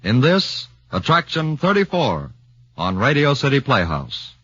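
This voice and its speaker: Stately 1940s radio announcer. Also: male voice, medium pitch, huge pitch swings, unhurried, sharp tone. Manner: formal, stately. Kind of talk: formal broadcast announcement. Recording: dull 1940s radio broadcast.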